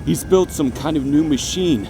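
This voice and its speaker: A man's voice: a little high, normal speed, over music, natural voice, low-quality recording. A man playing a hot-headed, proud young echidna fighter in a dramatised audiobook, brash and challenging. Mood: agitated. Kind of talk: dramatised audiobook character acting.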